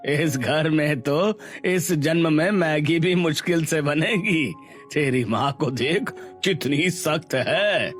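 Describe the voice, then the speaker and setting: A man's voice: a little high, slow pace, faint music behind. A man playing a practical, thrifty, resigned father of a poor family in a cartoon story. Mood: wistful, resigned. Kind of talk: Hindi cartoon story dialogue.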